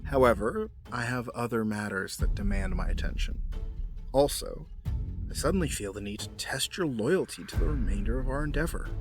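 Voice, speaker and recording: A man playing a smug, menacing villain in an audio drama, speaking formally and deliberately in a taunting way. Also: mid-range voice, very animated. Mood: smug menace. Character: smug, menacing villain, coolly coercing a young woman. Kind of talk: scripted audio drama acting. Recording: music behind the voice.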